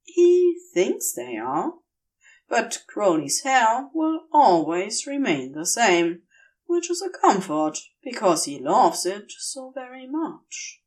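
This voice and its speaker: Expressive, unhurried woman reading a story. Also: a little high. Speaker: audio fiction reader. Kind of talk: scripted story narration, expressive audiobook reading.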